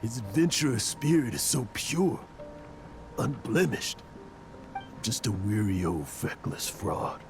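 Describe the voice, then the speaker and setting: An old drunken adventurer, weighed down by grief. The voice is gravelly and slow, lamenting in a theatrical video game style, with clear music behind it. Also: male voice, fairly low pitch, expressive, heavily acted. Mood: weary, regretful. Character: weary old drunken grieving male adventurer. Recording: loud background music.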